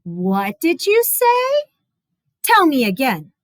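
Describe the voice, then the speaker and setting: A woman's voice: sits high, wildly swooping pitch, slow, dry recording, studio quality, voice pushed up, exaggerated voice. A woman voicing a worried, commanding storybook mother, urgently giving instructions to her boys. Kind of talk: storybook read-aloud character voice.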